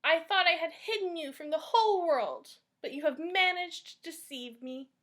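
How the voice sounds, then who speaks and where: A woman voicing a wicked, vengeful old witch from a storybook, scolding forcefully with drawn-out words. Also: extremely high pitch, voice pushed up. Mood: furious.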